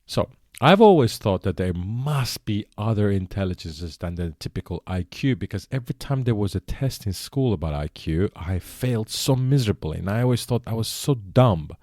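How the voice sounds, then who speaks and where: A man's voice: lowish voice, expressive, moderate pace, few pauses, a little mellow, no echo, clean studio sound. A man born in Iran and raised speaking Persian, hosting a solo podcast, explaining earnestly with frequent self-corrections. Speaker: podcast host.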